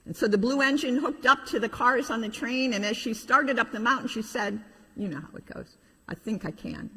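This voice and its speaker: A middle-aged woman, a pastor telling a children's story in an animated way with informal asides. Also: on the high side, fairly quick, slight background noise. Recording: reverberant hall.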